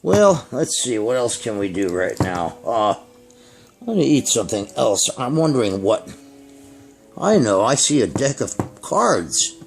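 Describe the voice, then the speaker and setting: A man voicing a greedy, gleeful garbage can in a children's puppet show, speaking slowly and repetitively. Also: medium pitch, sing-song, creaky, faint music behind, voice pushed down. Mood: greedy, savoring. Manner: slow, repetitive, savoring delivery.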